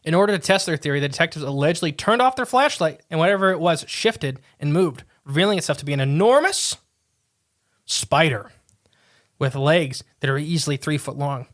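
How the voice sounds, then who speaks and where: A man's voice: a little high, expressive, brisk, fairly bright tone. A man co-hosting a podcast, reading a strange tale aloud with casual asides, often tripping over words and restarting. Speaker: podcast co-host.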